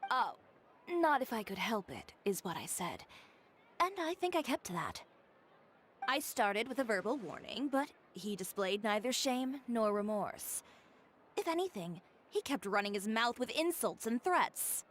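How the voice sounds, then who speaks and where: An actress playing a confident, blunt, haughty young fashion designer in a video game, speaking with cool, dry self-assurance. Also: female voice, fairly high pitch, big pitch swings, unhurried, fairly bright tone, a little hiss or hum. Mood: firm, defiant.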